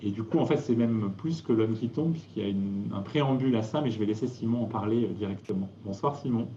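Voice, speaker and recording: A man presenting a theatre season live, halting with many fillers and restarts. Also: lowish voice, dark tone, a little hiss or hum. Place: live video call. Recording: dull lo-fi roomy video-call audio.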